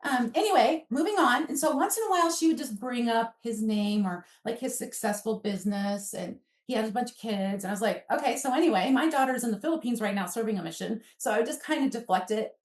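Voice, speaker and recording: A woman's voice: fairly high pitch, expressive, fast talker, few pauses. American woman storyteller, echoey and distant. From Alaska, United States. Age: 50s. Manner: rambling, quotes dialogue as she goes. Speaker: podcast guest, author. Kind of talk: animated personal storytelling in a conversation. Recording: echoey room, distant mic.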